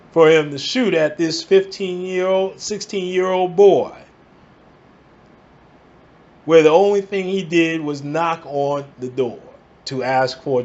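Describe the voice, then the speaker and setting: A man from the Bronx, ranting in emphatic bursts and tossing in "all right?" to keep his listeners with him. His voice sits a touch higher than most men's. The recording is dull and lo-fi. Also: a little mellow. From the Bronx, New York. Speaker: vlogger. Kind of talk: angry commentary rant.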